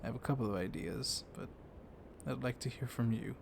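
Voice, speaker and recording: A man playing a gently dominant, comforting vampire librarian in an intimate ASMR roleplay, speaking softly and haltingly, often slipping into a whisper. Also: medium pitch, natural voice. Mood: teasing, confiding. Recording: clear storm noise behind the voice. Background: thunderstorm ambience.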